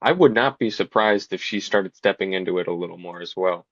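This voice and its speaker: A man's voice: low-pitched, fairly quick, a bit bright, dry recording, highs cut off. A young man on a talk panel, chatting casually and stumbling a little over his words. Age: young adult. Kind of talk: casual discussion.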